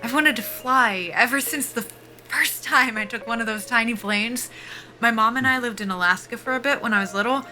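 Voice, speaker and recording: An actress playing a guarded young soldier-pilot at a job interview in an audio drama, politely formal and clipped, with dry humor. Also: female voice, on the high side, moderate pace, sharp tone, a little hiss or hum, rough recording. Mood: wistful, earnest. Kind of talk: naturalistic audio drama acting.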